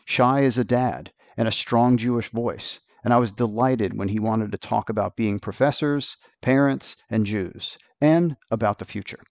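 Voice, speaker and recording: A man hosting a podcast, reading a scripted introduction in a measured, polished way. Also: on the low side, darkish tone, no room sound, lo-fi sound. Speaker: podcast host.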